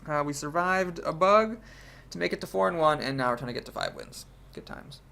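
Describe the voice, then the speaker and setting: Congested man with a gravelly voice. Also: fairly high pitch, animated, a little fast, pushed and bright. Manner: analytical, thinks aloud about plays. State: congested from a cold. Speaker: game streamer. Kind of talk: card game commentary.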